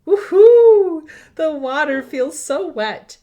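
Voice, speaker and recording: A woman voicing an eager, proud toddler from a storybook, with simple, expressive, sing-song delivery. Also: high voice, takes it slowly, pitched above the performer's own voice. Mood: excited, proud. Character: eager, proud toddler learning to use the potty.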